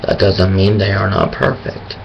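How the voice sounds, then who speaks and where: Hoarse, unhurried man with a creaky voice, halting and self-correcting. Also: sits low, lo-fi sound, noisy background. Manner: hesitant, thinking aloud with many pauses and restarts. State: raspy voice. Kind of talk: casual monologue on faith.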